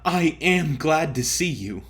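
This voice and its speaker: A man performs an eager young suitor in a comic storybook drama. He is lovestruck and insistent, and his pitch moves in an animated way as he gushes with enthusiasm. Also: slow. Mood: eager delight.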